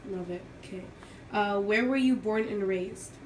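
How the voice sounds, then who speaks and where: A woman's voice: mid-range voice, moderate pace. A young adult woman interviewing her mother for an oral history, asking short, direct questions. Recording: echoey room, faint noise.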